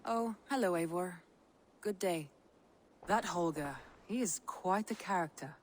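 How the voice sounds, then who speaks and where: A woman playing a shrewd, composed Viking advisor in a video game, measured and knowing. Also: lowish voice, a little hiss or hum, natural voice. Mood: wry, composed. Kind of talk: video game cutscene acting.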